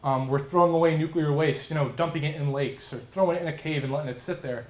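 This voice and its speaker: A young man, a student contestant, explaining his business pitch to judges in an earnest, conversational way. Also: quick pace. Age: young adult. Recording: roomy, echoing live sound.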